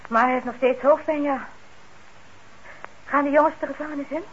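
A woman's voice: high voice, fairly even intonation, fairly quick, natural voice. A woman playing an indignant, protective daughter in a radio drama, curt and disapproving. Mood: defensive. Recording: dull, noisy old radio recording.

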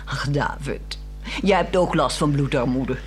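A woman's voice: extremely low pitch, measured pace. A woman acting a yearning, coaxing wife who speaks frankly in a 1960s radio drama. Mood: teasing. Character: restless, yearning wife, coaxing and frank, craving new romance. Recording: 1960s radio play, music behind.

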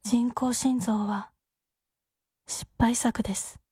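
A woman playing a weak, revived wife in a radio drama, struggling out halting, gasping, pleading words. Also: fairly high pitch, fairly even intonation, breathy edge, full character voice. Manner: halting, gasping, broken syllables. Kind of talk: Japanese radio drama acting. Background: loud music.

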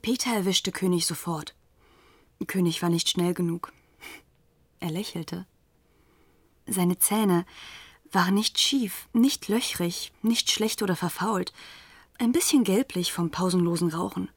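A woman's voice: lowish voice, a little slow, long pauses, husky, no echo. An actress playing a woman who recalls her cruel youth gang in radio drama narration, in terse fragments. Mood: cool, observant.

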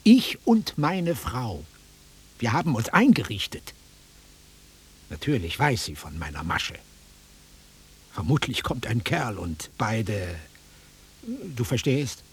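An actor playing an irritable, sceptical city-bred man in a German radio drama, curt and sarcastic, with a very raspy, breathy voice. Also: mid-range voice, sing-song, takes it slowly, long pauses, slight background noise. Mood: resigned.

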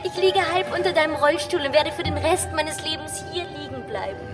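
An actress in a German radio play voicing a lively, playful, romantic young baroness who teases and pleads poetically. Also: female voice, sits high, brisk, distant mic, over music, lightly acted. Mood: playful, wistful. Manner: exuberant, pleading, poetic, theatrical.

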